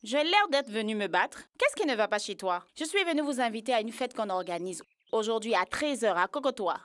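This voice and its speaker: A woman's voice: fairly high pitch, lively intonation, fairly quick, no echo, natural voice. A woman voicing a friendly but anxious young party organiser in a children's cartoon, speaking earnestly. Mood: defensive. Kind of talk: children's educational cartoon dubbing.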